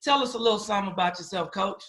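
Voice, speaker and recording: A man's voice: high voice, racing, bright, forceful tone. A man hosting a school podcast, chatting casually with a joking, teasing, familiar manner. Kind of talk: casual interview chat.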